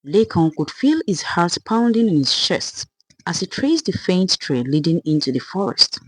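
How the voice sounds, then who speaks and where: Folktale narrator, lively varied pitch, slightly husky voice, dry close sound, dramatic scripted delivery. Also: moderate pace, dry recording, poor audio. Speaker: storyteller.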